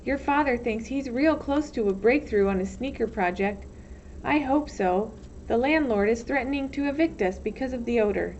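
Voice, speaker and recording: Storybook mom voiced by a woman reader, tender and hopeful, high-pitched, slightly dull lo-fi recording. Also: normal speed, darkish tone, lightly acted. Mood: affectionate. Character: affectionate, hopeful mother writing to her son. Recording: dull lo-fi sound, faint noise.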